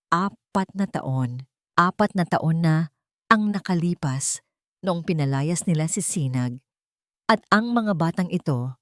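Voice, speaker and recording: Woman, storyteller, dramatic expressive narration, lowish pitch, dry recording. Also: dry recording. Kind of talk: scripted audio-drama narration.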